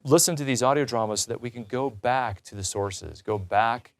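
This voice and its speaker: A man's voice: mid-range voice, normal speed, no echo. A man hosting a religious talk show in a conversational, earnest and encouraging way.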